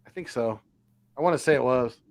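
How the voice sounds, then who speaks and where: A man's voice: medium pitch, brisk. A man in his thirties co-hosting a casual podcast, chiming in with facts and agreeing. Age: 30s. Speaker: podcast co-host.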